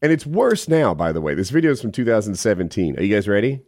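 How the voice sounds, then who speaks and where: A man's voice: lowish voice, big pitch swings, fast, darkish tone, dry recording, studio quality. A man casually presenting a clip and chatting as a podcast co-host.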